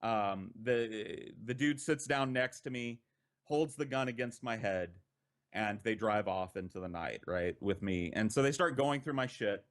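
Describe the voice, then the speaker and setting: An American man, a podcast storyteller, recounting an anecdote in a conversational, profane way with dramatic pauses. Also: on the low side. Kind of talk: casual anecdote telling.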